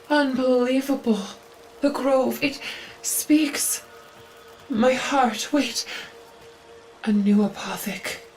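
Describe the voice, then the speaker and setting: An actress playing a devout, earnest female zealot guarding a sacred forest in a video game, reverent, solemn and measured. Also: mid-range voice, a little mellow, low-quality recording. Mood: awed, eager.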